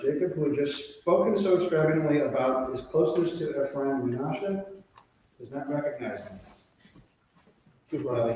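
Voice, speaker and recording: A man's voice: fairly high pitch, darkish tone. A man leading a synagogue service, speaking informally and conversationally, often repeating and rephrasing as they go. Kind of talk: informal sermon and prayer leading at a live service. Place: large reverberant synagogue room during a service. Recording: very echoey hall, distant mic, faint noise.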